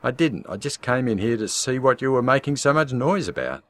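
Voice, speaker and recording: A man doing a gruff, short-tempered husband. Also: medium pitch, big pitch swings, a little fast, voice pushed up, natural voice. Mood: cross. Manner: gruff, snapping, cross. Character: short-tempered, guilt-ridden middle-aged husband.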